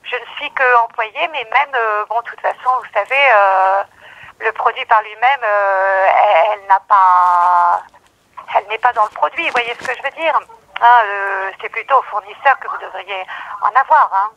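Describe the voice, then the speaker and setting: A woman's voice: mid-range voice, normal speed, pushed and bright. A woman working at a pharmacy, talking with a customer over the telephone on a muffled line. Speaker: pharmacy staff member. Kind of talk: customer phone conversation.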